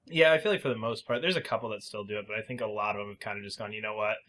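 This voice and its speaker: A man's voice: lowish voice, rapid-fire, fairly bright tone. A man co-hosting a podcast, giving his opinions on video games in casual, conversational speech. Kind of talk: casual opinionated game chat.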